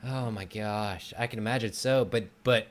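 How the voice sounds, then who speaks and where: A man's voice: on the low side, fairly even intonation, moderate pace. A man hosting a casual conversational interview show. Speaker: host. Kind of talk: casual chat.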